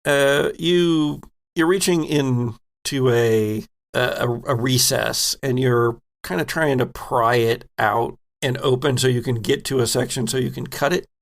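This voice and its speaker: A man narrating a tabletop game as game master, laid-back and wry, with pauses in his narration. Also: mid-range voice, gravelly.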